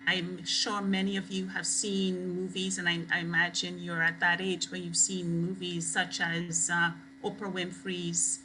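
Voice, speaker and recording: A woman delivers an academic talk. Her voice is bright and projected, and she speaks slowly, weighing and stressing her phrases. There is slight background noise on the recording. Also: fairly low pitch, flat delivery, low-quality recording. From Trinidad and Tobago. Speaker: guest lecturer. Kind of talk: academic lecture.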